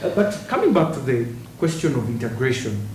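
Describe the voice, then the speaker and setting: A man leads a studio panel discussion in a relaxed, conversational way, often doubling his words as he starts a thought. He sits a little back from the mic in a roomy studio with faint hiss behind him. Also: mid-range voice, brisk, recorded from a distance. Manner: conversational, repeats words when starting a point. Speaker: TV talk show host.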